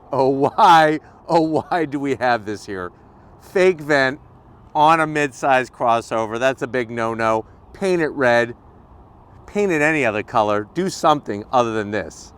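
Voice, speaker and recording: A man's voice: mid-range voice, expressive, a little slow, fairly bright tone, clean tone. A man hosting a walkaround car review outdoors, talking to camera in a punchy, colloquial way with rhetorical questions and catchphrases. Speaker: car review video host.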